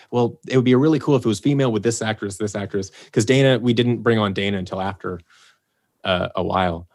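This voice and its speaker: Low-pitched male, casual interview talk, rambling, restarts, animated quoting. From Los Angeles area, United States. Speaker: producer and writer of an audio drama.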